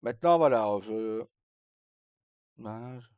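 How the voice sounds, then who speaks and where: A man's voice: mid-range voice, slow pace, clear, resonant tone, no echo. Guy, middle aged, rambling casually on stream, dry kinda muffled mic. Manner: hesitant, rambling, informal, mildly crude. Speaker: live streamer. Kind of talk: casual livestream chat. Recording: dull, lo-fi sound.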